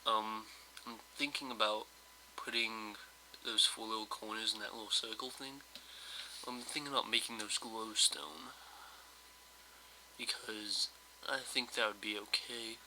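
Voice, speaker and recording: A man who sounds slightly hoarse from just waking up, giving casual, rambling commentary over his gameplay with lots of hesitations and fillers. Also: on the low side, steady tone, measured pace, background noise. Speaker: let's play host.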